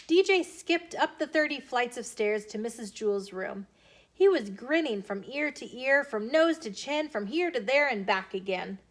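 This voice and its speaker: An American woman reading a children's storybook aloud in an expressive, animated way as a storytime host. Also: on the high side, unhurried. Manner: animated, emphatic character dialogue.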